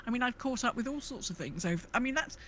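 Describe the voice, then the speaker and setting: A woman's voice: a little high, animated, fast, fairly bright tone, slight background noise. A woman co-hosting a podcast in casual gaming chat, thinking aloud in a hesitant, rambling way. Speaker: podcast co-host.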